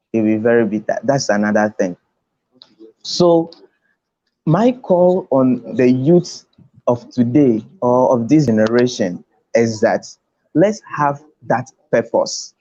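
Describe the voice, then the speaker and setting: A man's voice: medium pitch, mellow. A man with a Ghanaian accent giving an emphatic motivational talk on an online conference call, repeating phrases for weight. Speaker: conference speaker. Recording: dull lo-fi online call audio.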